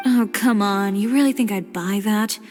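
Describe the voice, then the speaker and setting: A woman's voice: mid-range voice, moderate pace, a little mellow, close to an everyday voice. A wry young woman fashion designer from a video game, voiced by an actress. Her delivery is dry, blunt and teasing. Her lines ring with a long reverb over music. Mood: sceptical, teasing.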